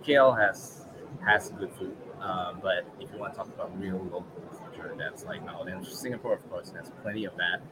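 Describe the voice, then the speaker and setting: A man from Malaysia with a Malaysian English accent, a guest in a casual interview, relaxed and thinking aloud with many fillers. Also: sits low, normal speed, heavy background noise. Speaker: guest, regional manager.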